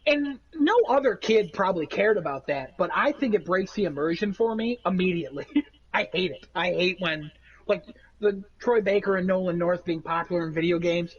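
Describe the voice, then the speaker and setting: A man with a nasal voice, rambling through animated stories in a casual chat among friends. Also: high voice, normal speed, slightly rough, lo-fi sound. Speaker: podcast co-host.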